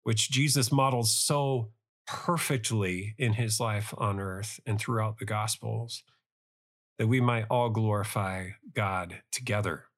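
Lower-voiced preacher, raspy from a cold. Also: male voice, fairly even intonation, fairly bright tone. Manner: earnest, devout, measured phrasing. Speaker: podcast preacher. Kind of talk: Bible study sermon with prayer.

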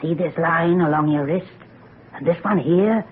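A tinny, slightly noisy old radio recording carries an actress as an ominous old country woman who tells fortunes. Her speech is rustic and foreboding, with a sharp tongue, and her voice sits fairly low for a woman. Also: moderate pace, lightly acted. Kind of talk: old-time radio drama acting.

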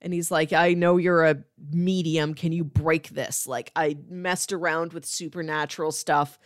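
A woman's voice: low voice, steady tone, unhurried, dry recording, clean studio sound. A woman co-hosting a podcast in an informal, conversational style. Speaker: podcast co-host. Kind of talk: casual chat.

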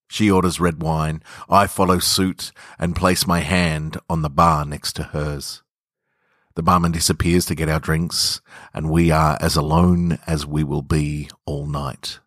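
Low-voiced man, close dry recording. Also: dry recording. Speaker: podcast host reading their own short stories. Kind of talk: casual podcast introduction, then slow narrated fiction reading.

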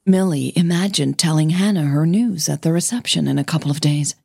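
A woman with a soft, breathy voice, a podcast host who narrates her own audio fiction, over music. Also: low voice, a little fast, no room sound, clean studio sound. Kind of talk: scripted story narration with casual host outro. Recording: music bed under the voice.

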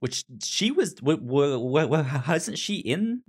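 A man hosting a casual podcast at an unhurried pace. His pitch swings around a lot, and he often stumbles and repeats words as he gets a sentence going. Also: sing-song, husky. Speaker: podcast host steering the segments. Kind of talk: casual chat.

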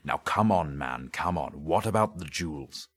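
A man's voice: rumbling low, measured pace. A man voicing a stern, impatient and sceptical police inspector in an audiobook story, with a brusque, scornful way of questioning. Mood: sardonic, pressing.

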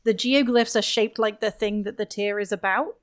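A woman's voice: mid-range voice, steady tone, moderate pace. A woman chatting casually as a guest on a gaming podcast, with a wry manner. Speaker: guest on a video game podcast. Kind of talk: casual chat.

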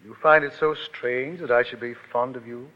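An actor playing a suave, courtly, very wealthy suitor in a 1930s radio drama, with polished, formal, gallant speech. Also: male voice, mid-range voice, steady tone, normal speed, close to an everyday voice. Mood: courtly, wooing. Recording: vintage 1930s radio, dull, hall reverb.

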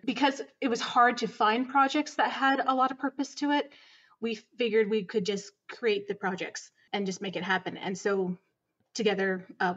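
A woman's voice: mid-range voice, dull audio. A woman guest on a podcast interview, answering earnestly with frequent ums and laughs. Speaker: podcast guest, audio drama producer.

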